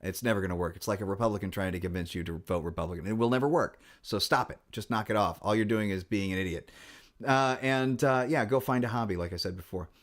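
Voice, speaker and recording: A man's voice: on the low side, fast talker. A middle-aged man vlogging casually about his guitar channel, rambling and wry, with many fillers and restarts.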